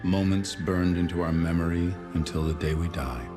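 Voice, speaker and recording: An actor voicing a solemn, resolute corporate propaganda narrator with dramatic scripted delivery in a game. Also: male voice, low voice, fairly even intonation, moderate pace, music in the background, natural voice.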